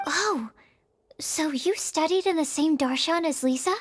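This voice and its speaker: A woman's voice: high-pitched, a little slow, slight background noise. A woman voicing a shy, earnest, bookish young alchemist in an anime fantasy game, polite and hesitant.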